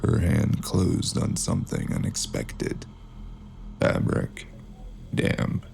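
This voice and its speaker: Male noir killer narrator, played by an actor: deep and heavily creaky, cold, deliberate, ominous, music underneath. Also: big pitch swings, full character voice. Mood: ominous, suspenseful. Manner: ominous, deliberate, clipped phrases.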